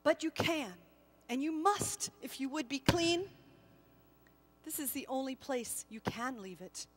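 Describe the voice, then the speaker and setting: Solemn storybook angel, played by a woman: measured, admonishing, unshakably firm, higher register. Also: animated, big-room sound, slight background noise. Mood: stern, compassionate. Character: solemn, firm male angel guarding the Christ child.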